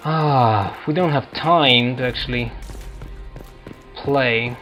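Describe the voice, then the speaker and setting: A man doing casual let's-play commentary on a roleplaying video game, musing and haltingly thinking aloud. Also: mid-range voice, a bit bright. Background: video game music.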